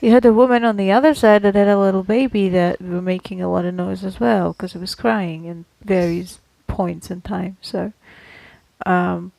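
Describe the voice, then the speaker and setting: A woman co-hosting a podcast in casual conversation, questioning and gently challenging what is said. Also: mid-range voice, steady tone, normal speed, gravelly, no echo, low-quality recording. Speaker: podcast co-host.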